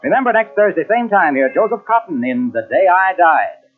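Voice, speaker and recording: An old, narrowband radio recording carries an American man as announcer. His high voice moves with great animation through polished, emphatic sales copy. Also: big pitch swings, a little fast, faint music behind. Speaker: sponsor announcer. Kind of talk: vintage radio announcing and ad reading. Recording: muffled narrowband 1940s-50s radio, cassette transfer.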